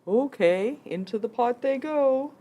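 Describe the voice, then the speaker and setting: Villager puppet, voiced by a woman: very high, animated, curious and keen to help, children's show. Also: a little slow, lo-fi sound. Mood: eager, helpful.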